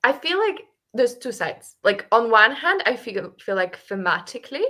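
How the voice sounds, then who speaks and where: A woman's voice: fairly high pitch, normal speed. A young woman co-hosting a casual book club discussion, chatting animatedly and filling her talk with "like". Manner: chatty, heavy use of "like", animated.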